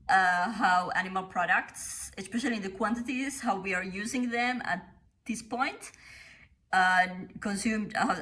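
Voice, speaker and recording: A middle-aged woman from Finland speaks with a Finnish accent, her voice bright and clear. She talks in an interview in a measured, thoughtful way, stopping often on short "uh" pauses to find her words. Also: mid-range voice. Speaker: company founder. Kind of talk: interview monologue.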